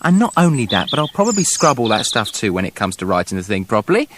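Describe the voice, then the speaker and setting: An actor playing a pretentious, defensive fairy-tale writer in a radio play, prickly and pompous. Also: male voice, medium pitch, big pitch swings, fast talker, a bit bright, slight background noise. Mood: offended, defensive.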